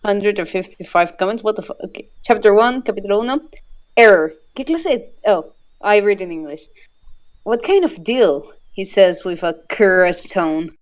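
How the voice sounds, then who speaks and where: A woman with a mid-pitched voice reads stories aloud informally, recorded close and dry. She mixes the reading with casual, amused chat. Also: moderate pace, no echo. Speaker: fanfiction reader and commentator.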